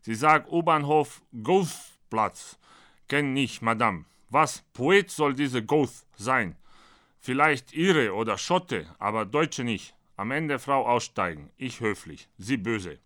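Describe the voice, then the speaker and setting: A man voicing a blunt, naive Brazilian who talks in choppy, deadpan broken German, performed as a comic monologue. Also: mid-range voice, slow pace. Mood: storytelling, indignant. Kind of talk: comic monologue read from his own book.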